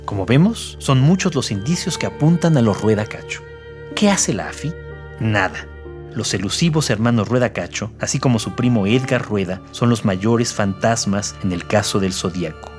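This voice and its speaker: A man's voice: on the low side, lively intonation, moderate pace, faint music behind. A man acting as narrator of a scripted true-crime documentary.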